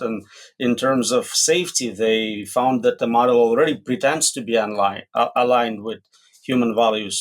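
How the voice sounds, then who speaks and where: A man with a mid-range voice speaks as an expert guest in an interview. He gives his answers plainly and bluntly, in a matter-of-fact manner. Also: mid-range voice. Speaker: expert guest, AI safety researcher.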